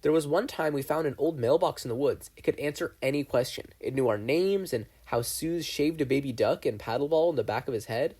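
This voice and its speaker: A man voicing an anxious, earnest young teenage boy in a read-aloud story, with a gravelly, gentle coaching manner. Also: mid-range voice, lightly acted. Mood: coaxing. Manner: gentle, coaching, talks fast.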